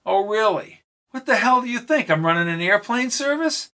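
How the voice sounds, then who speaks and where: A man's voice: sits high, animated, normal speed, sharp tone. A man voicing an irritated middle-aged male government official who blusters and complains. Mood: blustering. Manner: blustering and exasperated.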